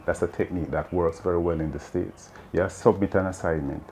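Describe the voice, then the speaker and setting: A man's voice: sits low, steady tone, fairly quick, a little mellow, a little hiss or hum. A Jamaican man in his fifties, a math teacher earnestly explaining his views in an interview, often saying "you know". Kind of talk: interview answers. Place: open veranda outdoors.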